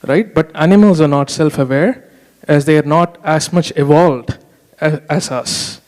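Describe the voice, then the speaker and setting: A man's voice: on the high side, unhurried, a little mellow. A man from Boston asking a teacher a question as an audience member, often checking for agreement. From Boston, United States. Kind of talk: spoken question at a retreat. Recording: echoey hall with long reverb.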